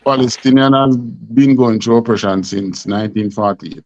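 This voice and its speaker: A man's voice: mid-range voice, flat delivery, normal speed, a little mellow, dry recording. Emphatic Jamaican man lecturing, dull sound. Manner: emphatic, rhetorical questions, repeats himself. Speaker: class teacher. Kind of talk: lecture in a scripture class.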